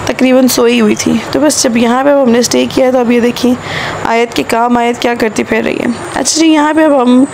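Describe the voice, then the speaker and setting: A woman in her thirties with a Pakistani Urdu accent, narrating her road-trip vlog in a chatty voice-over, her voice hoarse from a sore throat, and repeating phrases for emphasis. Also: a little high, steady tone, normal speed, noisy background. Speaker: travel vlogger.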